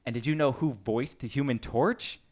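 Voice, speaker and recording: A man hosting a casual group podcast, chatting in an animated, jokey way. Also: medium pitch, moderate pace. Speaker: podcast host.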